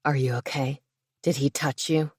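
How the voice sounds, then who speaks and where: A woman voicing a big, protective dragon shifter man who speaks quietly and coldly. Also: normal speed, husky, no echo, clean studio sound. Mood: tender. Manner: low, quiet, steady menace.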